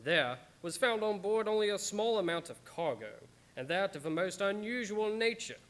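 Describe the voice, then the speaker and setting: A man playing the solemn narrator of a gothic horror radio play, with suspenseful, deliberate phrasing. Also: high-pitched, lively intonation, fairly bright tone. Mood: ominous, suspenseful.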